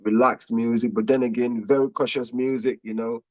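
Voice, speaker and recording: A young man from Nigeria, a guest musician, chatting in a relaxed, slangy way and laughing often. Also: medium pitch, normal speed, a crowd in the background. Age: young adult. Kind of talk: casual interview chat.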